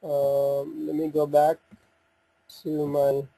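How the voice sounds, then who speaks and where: A man giving an unscripted software walkthrough, talking through each step in a halting way as he thinks aloud. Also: fairly high pitch, completely flat delivery, vocal fry, poor audio. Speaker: software demo presenter.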